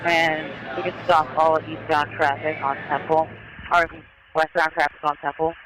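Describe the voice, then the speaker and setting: A man's voice: a little high, little pitch movement, normal speed, sharp tone. A male police officer directing units over a police radio in dispatch talk. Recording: noisy police scanner, outdoor traffic noise.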